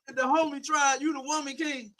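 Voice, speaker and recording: A man with a very high, bright and edgy voice, loud and mocking as he argues on a panel. He talks over his opponent. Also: little pitch movement, a little fast, dry recording. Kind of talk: heated debate.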